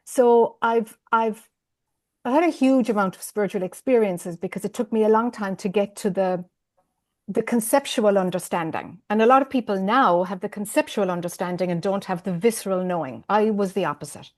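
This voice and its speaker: A woman's voice: mid-range voice, normal speed, darkish tone. A woman in her fifties with an Irish accent, a spiritual teacher talking candidly and rambling a little as a guest on a video call interview. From Ireland. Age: 50s. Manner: rambling, candid, laughs often. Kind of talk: conversational interview.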